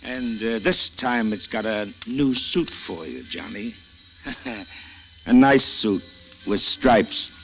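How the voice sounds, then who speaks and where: A man's voice: on the low side, takes it slowly, fairly bright tone, faint music behind. An actor playing a smug male lawman who mocks a caught bomber, in 1940s radio acting style on a dull old broadcast recording. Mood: gloating. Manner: mocking, gloating.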